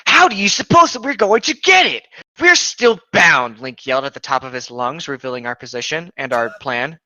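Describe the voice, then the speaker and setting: A man's voice: medium pitch, sing-song, normal speed, sharp tone, no echo. A man reading a story aloud casually and adding his own commentary, on a slightly lo-fi microphone. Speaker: co-host of a comedic fan fiction reading show.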